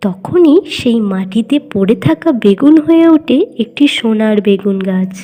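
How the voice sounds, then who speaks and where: Mellow woman narrating a storybook cartoon. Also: on the high side, expressive, moderate pace, soft background music.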